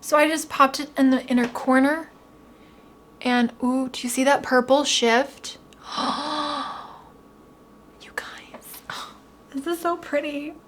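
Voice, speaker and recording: A young woman in a beauty unboxing vlog, gushing and excited while she is losing her voice. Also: high voice, slow pace, long pauses. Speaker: beauty vlogger.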